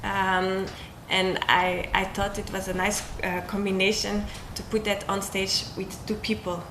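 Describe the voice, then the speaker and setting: A woman's voice: mid-range voice, flat delivery, unhurried. Young belgian woman chatting about circus stuff, lots of ums, echoey room w/ background noise. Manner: hesitant, many ums and restarts. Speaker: circus artist. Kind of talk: casual interview talk.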